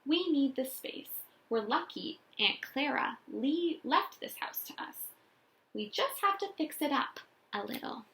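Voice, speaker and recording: A woman reading a storybook as a brisk, practical, reassuring mother. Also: high-pitched, big pitch swings, vocal fry, a bit bright, pitched above the performer's own voice, full character voice. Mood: explaining, cheerful.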